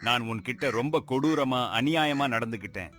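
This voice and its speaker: A man's voice: mid-range voice, moderate pace, fairly bright tone, soft background music, close to an everyday voice. A man playing a greedy village headman, humbled and begging, in a dramatised moral story. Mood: contrite.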